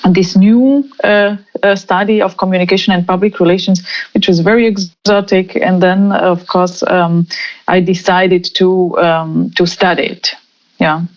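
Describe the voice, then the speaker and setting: A woman's voice: lowish voice, steady tone, a little slow. Hesitant raised woman, muffled call audio. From Romania. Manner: hesitant, many fillers and restarts. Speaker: guest. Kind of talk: interview answers.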